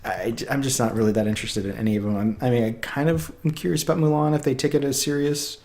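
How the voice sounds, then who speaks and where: A man's voice: medium pitch, steady tone, fast talker, darkish tone, a little hiss or hum. A man co-hosting a casual podcast, easygoing and a little hesitant, leaning on fillers like "I mean" and "like." He laughs readily between his points. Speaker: podcast co-host.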